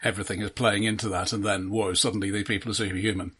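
A man's voice: lowish voice, level tone, rapid-fire, a bit bright, husky. A man co-hosting a film podcast, talking casually in a considered, analytical way and refining his points as he goes. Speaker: podcast co-host.

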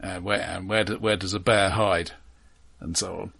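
A man co-hosting a casual film discussion, explaining points in a measured, informative way. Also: sits low, fairly even intonation, creaky, a bit bright, breathy edge. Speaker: podcast co-host reviewing films.

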